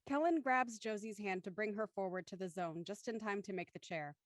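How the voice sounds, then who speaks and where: A woman's voice: medium pitch, a little fast, no echo, clean studio sound. A woman reading scripted stage directions as the narrator of a drama.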